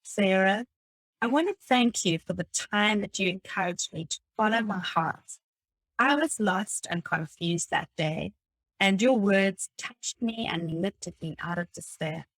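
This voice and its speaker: A woman reading a motivational self-help text aloud in a deliberate, instructive way. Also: medium pitch, fairly bright tone, no room sound, low-quality recording. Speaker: reader of a self-help book. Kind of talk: scripted read-aloud of a motivational audiobook text.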